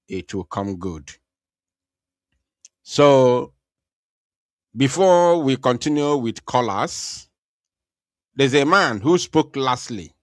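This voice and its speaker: A man's voice: medium pitch, no echo, clean studio sound. A man hosting a live talk show with callers, often repeating phrases, restarting sentences and switching into Igbo. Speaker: show host taking callers.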